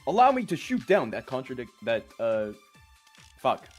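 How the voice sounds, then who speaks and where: A man's voice: mid-range voice, big pitch swings, moderate pace. A man giving casual, joking video game commentary and thinking aloud as he plays. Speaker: game streamer. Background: video game music.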